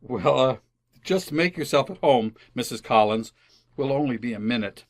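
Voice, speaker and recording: A man's voice: medium pitch, animated, moderate pace, close to an everyday voice. A man playing a placating, easily exasperated suburban husband in an old-time radio comedy, stammering and hedging. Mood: flustered, apologetic.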